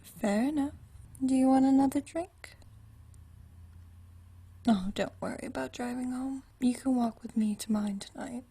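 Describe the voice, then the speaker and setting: A woman performs an ageless vampire lady with a soft, mellow voice pitched a little high. She speaks in a very husky, whispery hush, as in an intimate ASMR roleplay. Her manner is languid and genteel, full of dry, teasing wit. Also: measured pace, long pauses, heavily acted. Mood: wry, affectionate. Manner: teasing, dryly witty, languid, genteel turns of phrase. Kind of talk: ASMR roleplay, intimate one-sided conversation.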